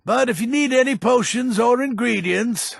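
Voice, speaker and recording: An actor playing a grumpy old male villager who keeps a potion shop in a fantasy video game, with a gravelly, husky voice and a gruff manner. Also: very high voice, normal speed, dry recording, studio quality, full character voice. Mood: welcoming. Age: older. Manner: gruff, curmudgeonly. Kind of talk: video game character lines.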